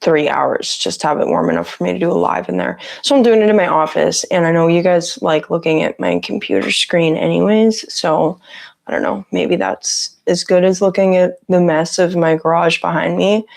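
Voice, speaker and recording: A woman with a raspy voice, sick with a cough, chatting casually on a livestream from her home office on a lapel mic and greeting viewers by name. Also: on the low side, steady tone, normal speed, a little mellow. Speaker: livestream host. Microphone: lapel mic on her shirt.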